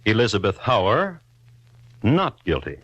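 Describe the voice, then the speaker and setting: A man narrating a 1950s radio crime drama in a dry, measured storytelling manner. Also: lowish voice, wildly swooping pitch, fairly bright tone, breathy edge, dry recording, lo-fi sound.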